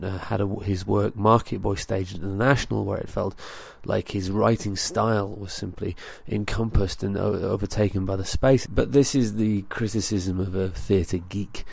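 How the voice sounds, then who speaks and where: A man with a low, creaky voice reads a considered arts review. His tone is dark and mellow, and he speaks in an articulate, earnest manner. The sound is dry and close. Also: no echo. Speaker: theatre reviewer on a podcast. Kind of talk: scripted spoken review.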